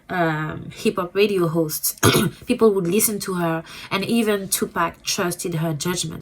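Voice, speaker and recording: A woman with a French accent giving a casual, rambling book review as a booktuber, repeating words and filling pauses with ums. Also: on the low side, a bit bright, low-quality recording.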